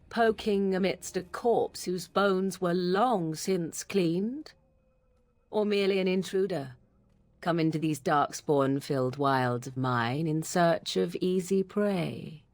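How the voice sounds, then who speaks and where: A woman's voice: lowish voice, expressive, takes it slowly, no room sound. An actress voicing a haughty young witch from the wilds, who speaks in a mocking, formal, old-fashioned way. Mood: aloof. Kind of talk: game voice acting.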